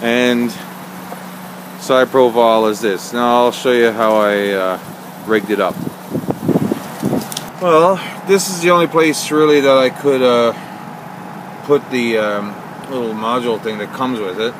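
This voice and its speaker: Man, amateur vlogger, mid-pitched voice, hesitant delivery, casual show-and-tell, clear background noise. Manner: halting, hesitant delivery with frequent pauses. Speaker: amateur vlogger showing an installation. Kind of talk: casual unscripted walkthrough.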